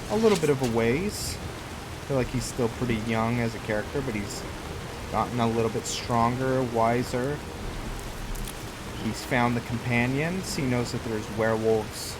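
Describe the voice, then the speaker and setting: A man giving casual let's play commentary as a gaming host, thinking aloud in an unhurried way. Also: mid-range voice, noisy background. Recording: loud rain and thunder game audio behind. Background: game rain and thunderstorm ambience.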